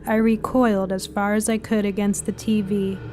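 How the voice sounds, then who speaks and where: A woman reading a scripted spooky short story as narrator, in a dramatic, deliberate way. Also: medium pitch, level tone. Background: music bed.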